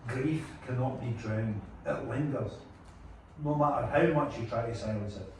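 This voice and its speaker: A man's voice: medium pitch, normal speed, slight background noise, lightly acted. Man playing a solemn mythic singer, echoey room. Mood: solemn warning. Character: calm, steady, mournful mythic male singer. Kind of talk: dramatic table-read acting. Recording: very echoey room, distant mic.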